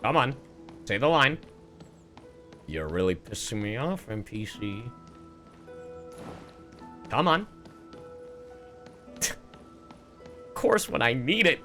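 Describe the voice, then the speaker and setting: A man streaming a game playthrough with excitable, profane, playfully exasperated commentary. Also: mid-range voice, slow pace, pauses often, music in the background. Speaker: streamer, VTuber.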